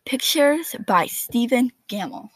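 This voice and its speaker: A woman's voice: a little high, animated, takes it slowly, no echo. A woman reading a children's picture book aloud expressively, with a sing-song rhyming delivery.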